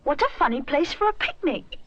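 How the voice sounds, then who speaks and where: A woman's voice: high-pitched, big pitch swings, brisk, fairly bright tone, dull audio, pitched above the performer's own voice. A woman voicing a kindly, polite little boy bear in a children's story, childlike. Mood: cheerful. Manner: polite, well-spoken, childlike.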